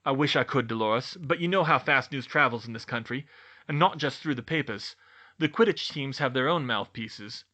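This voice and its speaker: A man's voice: mid-range voice, normal speed, bright, forceful tone. A man voicing a polite, genial but beleaguered middle-aged government boss with a wry manner, in an audiobook. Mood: regretful, firm. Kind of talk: audiobook character voice.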